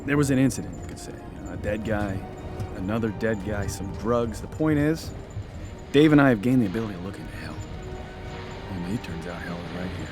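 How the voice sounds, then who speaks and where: A brash young slacker acted by a man hunts ghosts in a radio drama. He talks casually and cockily with a very animated pitch, and music plays clearly behind him. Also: brisk, close to an everyday voice. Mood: cocky, excitable. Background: loud dramatic music.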